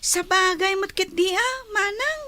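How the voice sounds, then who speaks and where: A woman's voice: extremely high pitch, a little slow, sharp tone, exaggerated voice. A woman voicing an eager, affectionate younger sibling in an Ilocano radio drama, with a Filipino accent. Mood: wistful, eager.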